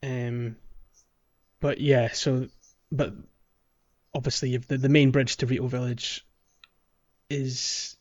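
A man co-hosting a casual gaming podcast, his voice sitting at an ordinary middle pitch. He rambles and restarts, leaning on ums and likes as he recalls things. The recording sounds muffled and lo-fi. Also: level tone, slow, pauses often, darkish tone. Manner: rambling, hesitant, many fillers and restarts. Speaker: podcast co-host.

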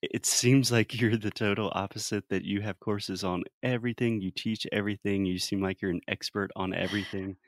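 An American man from South Carolina talks casually as an interviewer. His voice sits low, and the recording is dry and close with hardly any room in it. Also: fairly even intonation, a little fast, hardly any pauses, no room sound. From South Carolina, United States. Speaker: interviewer and English pronunciation teacher.

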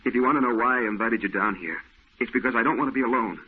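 A man as a husky, guarded, troubled painter. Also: mid-range voice, very fast, lightly acted. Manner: terse, guarded, tense. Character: troubled, secretive male painter. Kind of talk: 1940s radio acting. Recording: tinny old radio broadcast.

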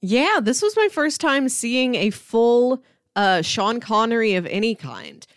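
A woman's voice: fairly high pitch, very animated, a little slow, dry recording, studio quality. A woman co-hosting a movie podcast, talking casually in a conversational, wry and joking way.

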